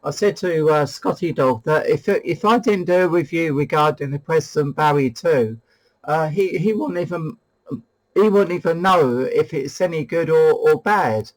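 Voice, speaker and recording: A British man with a slightly high voice hosts a casual two-way radio chat. He is talkative and informal, often doubling back and repeating phrases as he goes. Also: unhurried, poor audio. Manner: chatty, hesitant, repeats phrases. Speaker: host of a CB radio review video.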